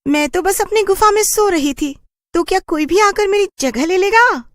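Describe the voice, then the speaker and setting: A cranky village witch from a horror cartoon. Her voice sits very high and swings about as she scolds indignantly. She guards her territory fiercely. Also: animated, normal speed, no room sound, studio quality.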